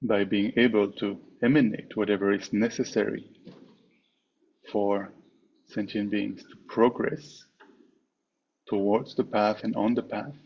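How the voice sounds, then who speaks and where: A man teaching a guided meditation in a measured way, pausing often between short phrases. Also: fairly low pitch, steady tone. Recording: dull, muffled online call with clear background noise.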